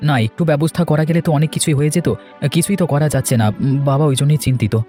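Man voicing cartoon young husband, out of work, earnest, quick pace, soft dark tone, music behind. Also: on the high side, steady tone, natural voice. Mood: worried, reassuring. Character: worried young married son, out of work, dutiful. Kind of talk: cartoon voice acting, domestic moral story.

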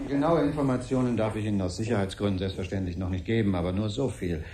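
An actor playing an evasive, unflappable ambassador, speaking in an official, placating manner in a 1970s radio drama. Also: male voice, lowish voice, fast, mellow, lightly acted. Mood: glib, placating. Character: smooth-talking, evasive male ambassador, unflappably dismissive. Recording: 1970s radio production, faint background noise.

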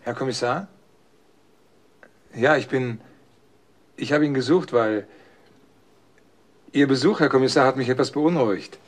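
A man's voice: medium pitch, a little slow, pauses often, lightly acted. An actor playing a doctor's loyal, uneasy grown son in his twenties in a German crime radio drama. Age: 20s.

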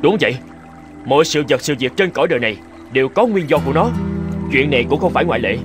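Man acting an indignant, animated young scholar. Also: a little high, fast, music in the background, close to an everyday voice. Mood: agitated. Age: young adult. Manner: pompous, argumentative. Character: indignant, self-righteous young male scholar. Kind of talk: animated folk tale voice acting.

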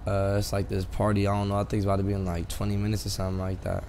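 A man's voice: fairly low pitch, monotone, racing, a little mellow. An American man chatting casually in character in an online crime game, using street slang and repeating himself. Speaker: streamer role-playing in an online crime game. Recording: noisy game voice chat.

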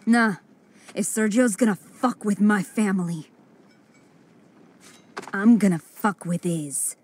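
An actress playing a loyal, vengeful young woman with a breathy, husky voice in a dramatic video game. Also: mid-range voice, slow pace, a little mellow, a little hiss or hum, natural voice. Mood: bitter resolve.

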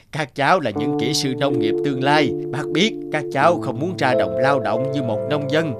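A man's voice: mid-range voice, normal speed, natural voice. A fatherly old cartoon scientist, acted by a man, devoted and earnest. He instructs kindly, with prominent music playing under his words. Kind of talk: animated educational drama dubbing.

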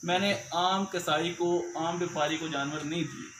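Young man, high voice, farmer explaining in an interview, noisy open cattle shed. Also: fairly even intonation, moderate pace, roomy sound. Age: young adult.

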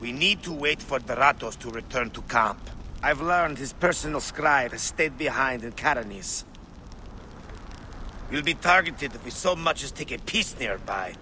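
Fervent rebel leader, very bright, forceful voice. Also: male voice, medium pitch, lively intonation, normal speed, slight background noise. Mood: resolute, plotting. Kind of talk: dramatic game cutscene dialogue.

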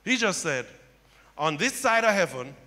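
A middle-aged male pastor preaching a sermon on a church stage into a handheld mic, building to loud impassioned cries. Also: high-pitched, very animated, moderate pace. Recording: long hall reverb.

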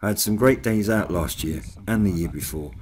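A man's voice: fairly low pitch, fairly quick, a crowd in the background. A British man hosting a hobby video channel, giving a scripted voice-over update to his viewers.

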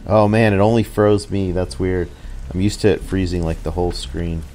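Low-voiced man commentating live gameplay. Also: steady tone, moderate pace, darkish tone, a little hiss or hum. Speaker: game streamer. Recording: game music behind the voice.

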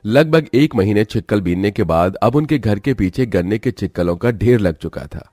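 A man's voice: lowish voice, animated, normal speed, dark tone, no room sound, studio quality. A man narrating a scripted moral story as a storyteller.

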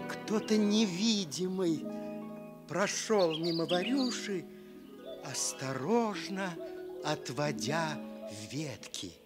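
Male radio storyteller: high, animated voice, tender fairy-tale delivery with music underneath. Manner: tender, dramatic pauses. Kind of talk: expressive fairy-tale narration, Soviet radio.

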